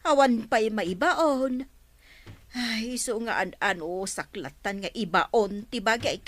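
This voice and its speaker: An actress with an Ilocano accent playing a stingy, combative village store owner in a radio comedy drama. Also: female voice, a little high, takes it slowly. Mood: weary, self-pitying. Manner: combative, sharp-tongued. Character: stingy, quarrelsome village store owner woman, scolding neighbours.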